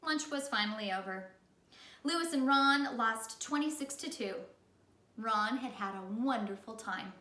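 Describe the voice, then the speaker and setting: A woman reading a children's story aloud in the animated style of a teacher. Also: a little high, measured pace, distant mic. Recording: echoey room.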